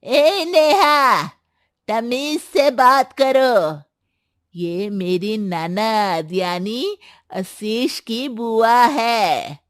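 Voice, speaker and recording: A woman's voice: on the high side, very slow, no echo, clean studio sound. A woman voicing a demanding middle-aged mother-in-law in a cartoon story, commanding and complaining. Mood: scolding. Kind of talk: animated Hindi story voice acting.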